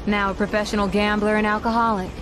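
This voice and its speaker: An actress playing a tough female intelligence agent in a video game, brisk, serious and matter-of-fact. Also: mid-range voice, level tone, noisy background, natural voice. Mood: businesslike.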